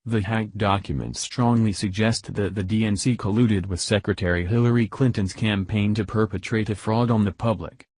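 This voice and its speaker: A man's voice: on the low side, a little mellow, no room sound. A man reading scripted political news as a voice-over narrator.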